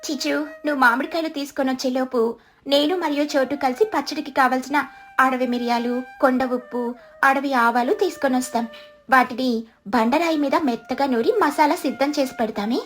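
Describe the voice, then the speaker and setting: A woman's voice: high voice, fairly even intonation, fairly quick, soft background music, lightly acted. A woman voicing a kindly, encouraging female village bird who organizes everyone in a children's cartoon, speaking expressively. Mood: organizing. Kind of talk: Telugu children's cartoon dialogue.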